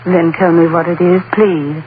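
Actress as earnest, solicitous sweetheart, theatrical 1940s-style radio drama, tender pleading delivery, thin narrow-band sound, clear hiss. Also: female voice, lowish voice, fairly even intonation, moderate pace, natural voice. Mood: tender concern. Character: devoted, solicitous sweetheart, earnest and easily alarmed. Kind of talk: old-time radio drama acting. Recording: noisy, band-limited old radio broadcast.

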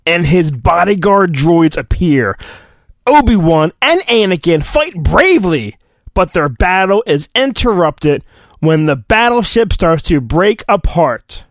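A man's voice: high-pitched, very animated, measured pace, no room sound. A man hosting a podcast, casual and self-deprecating, reading a children's storybook aloud in a playful mock-dramatic way. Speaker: podcast host.

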